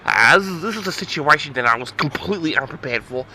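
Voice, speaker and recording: A man's voice: fairly high pitch, expressive, fast, pushed and bright, slight background noise, rough recording. A man telling a story casually as host of an audio log, rambling in an awkward, flustered, exasperated way.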